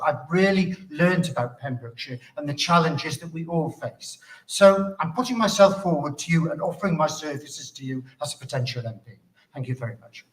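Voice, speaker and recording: Higher-voiced male candidate, echoey and distant. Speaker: political candidate, local councillor. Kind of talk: formal hustings closing speech. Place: hustings venue, indoors.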